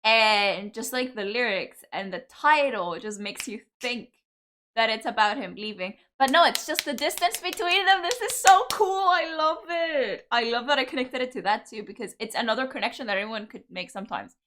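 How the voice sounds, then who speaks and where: A woman's voice: fairly high pitch, expressive, normal speed, pushed and bright, slight background noise. A young woman from Cyprus, reacting with enthusiasm to songs as a video host, full of fillers and loving remarks. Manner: gushing, enthusiastic, lots of fillers. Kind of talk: casual music reaction commentary.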